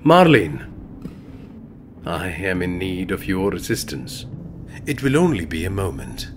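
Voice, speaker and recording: A man's voice: low-pitched, sing-song, a little slow. A man acting in an audio drama as an earnest, altruistic helper with a formal, precise way of speaking. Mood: urgent, formal. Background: music.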